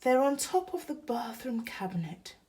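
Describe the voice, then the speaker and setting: A woman voicing a gentle, weary mother in a children's storybook, polite and long-suffering. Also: on the high side, sing-song, moderate pace, pitched above the performer's own voice. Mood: gentle, tired.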